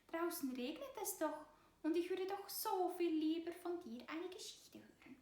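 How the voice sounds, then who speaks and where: A woman voicing a very young, eager girl mouse who begs excitedly in a children's story. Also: moderate pace, reverberant. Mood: pleading. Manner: begging, excited, squeaky.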